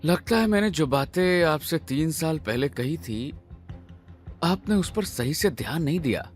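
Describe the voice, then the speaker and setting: Composed young hero, slightly high voice, defiant but modest, audio drama, light music underneath. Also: male voice, expressive, a little slow. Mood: quietly pointed.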